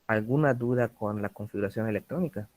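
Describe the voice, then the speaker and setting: A man's voice: lowish voice, fairly quick, darkish tone. A male teacher in an online class, casually checking whether his students have any questions before moving on.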